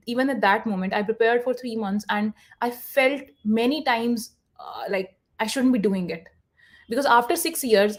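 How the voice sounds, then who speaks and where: A woman guest speaker on a video call, talking earnestly with many fillers and restarts. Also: fairly high pitch. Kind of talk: online session answer.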